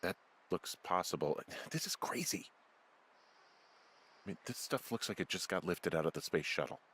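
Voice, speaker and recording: Low-voiced, wary American man. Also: expressive, a bit bright, a little hiss or hum. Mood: puzzled, uneasy. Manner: halting, conversational, swearing under stress. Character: wary, rattled American man, a park fire lookout. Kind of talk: naturalistic video game voice acting. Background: background music.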